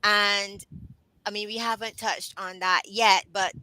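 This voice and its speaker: Bright-voiced woman host from the Caribbean. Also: medium pitch, flat delivery, a little slow, smooth, no room sound, rough recording. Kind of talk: casual discussion.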